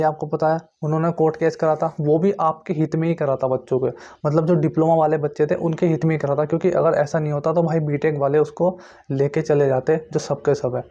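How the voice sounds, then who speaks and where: A man talks straight to his viewers in an informal explainer style. His voice sits slightly high yet has a soft, dark tone. He hammers points home by repeating them, and the sound is somewhat dull and lo-fi. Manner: repetitive, insistent explaining with frequent check-in tags. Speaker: YouTube host giving exam recruitment updates. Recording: muffled lo-fi recording.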